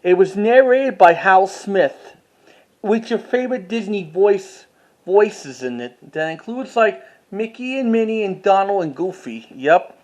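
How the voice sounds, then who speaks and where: Casual collector-vlogger, medium-pitched voice, halting delivery that often repeats and corrects itself. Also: expressive, darkish tone. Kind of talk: casual show-and-tell commentary.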